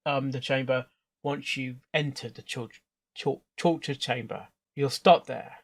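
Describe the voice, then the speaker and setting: A man hosting a reaction video, commenting off the cuff in a halting way, repeating words and phrases. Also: on the high side.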